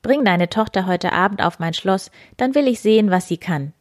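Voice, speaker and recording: A woman's voice: medium pitch, lively intonation, normal speed, dry recording, studio quality, natural voice. A woman voicing a greedy, commanding king in a fairy tale.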